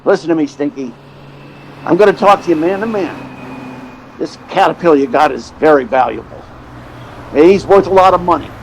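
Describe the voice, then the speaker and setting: A man playing a fast-talking, scheming theatrical agent who narrates an old-time radio play in a chatty hustler's manner. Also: fairly high pitch, a little hiss or hum, natural voice, low-quality recording. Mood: slick, persuasive. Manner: slick hustler, chatty storyteller.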